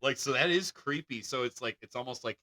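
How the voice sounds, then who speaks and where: Male podcast co-host with a bright, forward voice, mid pitch, casual delivery, dry close recording. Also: a little fast, no echo. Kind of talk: casual chat and reading stories aloud.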